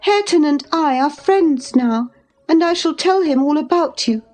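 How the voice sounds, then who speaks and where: Reckless young lady played by a female narrator, pitched high, pert and defiant, classic novel audiobook. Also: animated, normal speed, darkish tone, soft background music. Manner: pert, taunting, high-strung. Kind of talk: dramatized audiobook reading.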